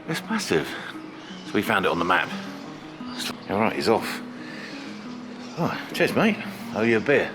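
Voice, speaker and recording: A chatty middle-aged British man talks to his camera while he walks the streets of a small town. His voice sits low, and it gets eager and excited as he goes. Clear music plays under his talk the whole time. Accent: British English. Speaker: vlogger house hunting. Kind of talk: casual travel vlog.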